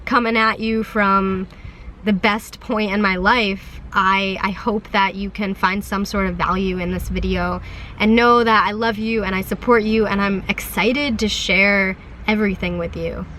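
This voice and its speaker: A young woman with a hoarse, flu-roughened voice talks straight to her audience in a loose, rambling sit-down vlog. Her pitch sits in a middle range, and she speaks heartfelt, with frequent ums and restarts. A faint hum of noise runs behind her. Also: steady tone, measured pace, sharp tone. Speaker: vlogger. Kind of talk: rambling sit-down talk to camera. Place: parked van.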